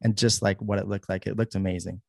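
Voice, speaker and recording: A young man hosting a fitness podcast, talking in an upbeat, energetic way with plenty of fillers. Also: on the low side, quick pace, creaky, no room sound, studio quality. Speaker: podcast host. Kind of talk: casual podcast chat.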